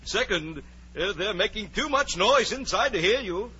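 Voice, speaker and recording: A man's voice: high-pitched, expressive, moderate pace, pushed and bright, slightly rough, exaggerated voice. An actor playing a pompous, muddle-headed fairy-tale footman, formal and pedantic, in 1940s radio drama style. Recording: muffled old radio recording with faint noise.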